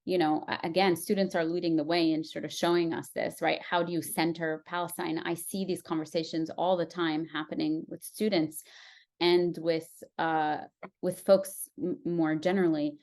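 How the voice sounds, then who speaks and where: A woman's voice: low-pitched, flat delivery, moderate pace, darkish tone. A woman psychologist giving earnest, reassuring advice in an interview, often checking in with "right?". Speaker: clinical psychologist guest.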